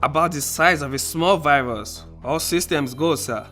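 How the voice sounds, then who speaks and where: A man's voice: on the high side, moderate pace, pushed and bright, faint music behind. A man playing a breezy, eager, cocky lab assistant in a radio play. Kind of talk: amateur radio play acting.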